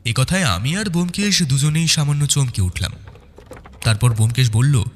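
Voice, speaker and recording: A man's voice: medium pitch, lively intonation, normal speed, big-room sound, a little hiss or hum. A man narrating a detective audio story in a dramatic, scripted first-person style.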